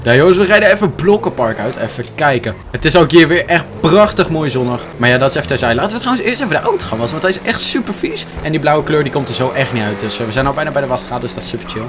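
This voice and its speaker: A young man with a slightly high voice chats through gameplay at a very quick pace. He thinks aloud informally, close to the mic, with clear game noise behind him. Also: expressive. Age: young adult. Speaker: gaming video creator. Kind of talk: casual gameplay commentary and roleplay chat. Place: indoor room with gaming chair.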